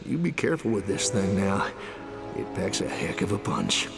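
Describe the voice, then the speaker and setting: A proud, showy male weapons presenter from a video game. His creaky, animated voice carries a long reverb under loud music. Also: low voice, moderate pace, heavily acted.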